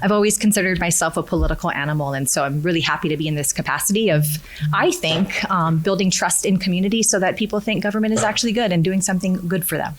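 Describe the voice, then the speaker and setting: A woman's voice: lowish voice, quick pace. A woman from Hawaii, a county council member, talking in an upbeat, conversational interview at a convention and laughing easily. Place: convention venue.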